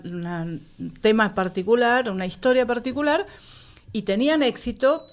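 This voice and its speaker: A woman chatting casually as a panelist on a radio show. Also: medium pitch. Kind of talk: casual chat.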